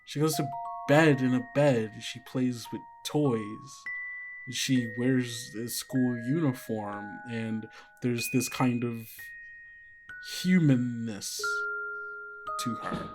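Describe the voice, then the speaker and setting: A game master improvising horror narration for a tabletop game in a halting, conversational way. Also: medium pitch, over music. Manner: halting, conversational, frequent 'like' and 'you know'.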